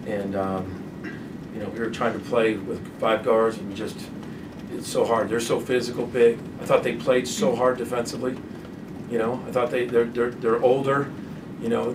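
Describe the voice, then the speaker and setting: A middle-aged man sits at a press table answering postgame questions as a basketball coach, halting and restarting as he repeats himself. The desk mic picks him up from a little way off, with a clear room hum behind. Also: medium pitch. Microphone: desk microphone from a distance. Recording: distant mic, clear background noise.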